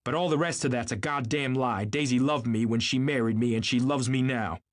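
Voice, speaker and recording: A man's voice: medium pitch, lively intonation, brisk, voice pushed up, lightly acted. A man voicing an arrogant, indignant wealthy husband in an audiobook, pompous and snapping. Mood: angry, blustering.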